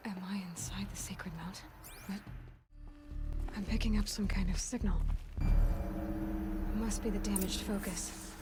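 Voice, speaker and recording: A woman's voice: medium pitch, natural voice. A woman playing a determined, inquisitive young outcast huntress in a video game, often musing to herself in short bursts. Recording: loud music behind the voice.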